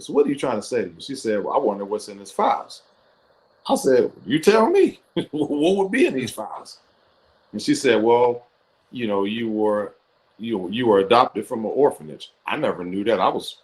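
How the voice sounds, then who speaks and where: A man's voice: lowish voice, rough recording. A middle-aged man from Ohio, a guest coach telling his life story in an interview, reflective and full of fillers. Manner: reflective, with frequent fillers and restarts. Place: interview on a video call. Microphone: computer or headset microphone on a video call.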